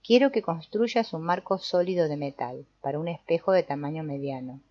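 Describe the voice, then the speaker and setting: A woman reading the lines of an old male sorcerer teacher, calm and instructive, in her own voice. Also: sits low, moderate pace. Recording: dull lo-fi dry recording.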